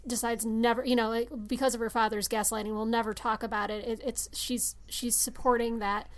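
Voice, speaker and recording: A woman's voice: a little high, flat delivery, fairly quick. A woman discussing a film casually, talking in an informal, earnest and analytical way. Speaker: podcast panelist.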